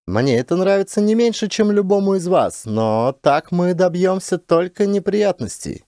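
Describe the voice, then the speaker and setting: Casting: man as authoritative woman commander, sci-fi audiobook, firm and pragmatic, voice lifted high, dry sound. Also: very animated, moderate pace, warm tone, no echo. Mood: firm, persuasive. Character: brisk, authoritative female space expedition commander.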